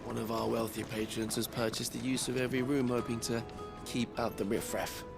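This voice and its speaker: A man's voice: medium pitch, little pitch movement, brisk, creaky, music in the background, natural voice. A man playing a polite, faintly dry figure who turns guests away in a video game, formal and courteous. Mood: politely dismissive. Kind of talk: video game cutscene acting.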